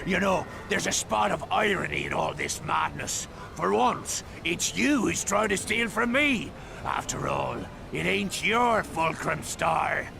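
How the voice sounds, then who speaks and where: Villainous undead pirate captain: high-pitched heavy gravel, bossy and theatrical, stage-pirate accent, cartoon game delivery. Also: male voice, sing-song, measured pace, very noisy, heavily acted. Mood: gloating menace. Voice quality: gravelly, growling. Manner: theatrical, blustering old-time pirate speech. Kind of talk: video game cartoon acting. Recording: loud music underneath.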